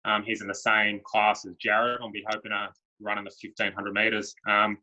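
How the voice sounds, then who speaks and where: A man's voice: fairly low pitch, normal speed. An Australian man, a para athletics coach, talking casually and with lots of filler words about his squad. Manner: relaxed, many um fillers. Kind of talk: casual panel Q&A. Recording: dull lo-fi sound.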